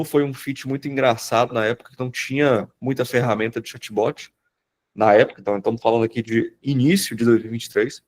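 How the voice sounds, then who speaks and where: Fields: Sex: man. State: hoarse, nasal from a cold. Style: casual live webinar talk. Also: mid-range voice. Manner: informal, rambling, many fillers and restarts. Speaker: automation business owner presenting in a webinar.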